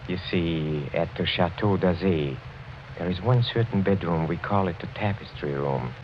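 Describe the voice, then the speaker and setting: An actor playing a suave, sinister older European count in 1940s radio style, courtly and insinuating, halting and chuckling softly. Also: male voice, deep voice, slight background noise, natural voice. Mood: silkily menacing. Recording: muffled old 1940s radio recording, faint music.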